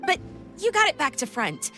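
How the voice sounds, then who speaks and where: Lapine Pauline, bright high-voiced young inventor. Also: female voice, huge pitch swings, normal speed, soft background music, full character voice. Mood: confident, persuasive. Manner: bubbly, persuasive, explanatory, theatrical. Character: Lapine Pauline, confident, enthusiastic young female tech inventor. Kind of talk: video game voice acting.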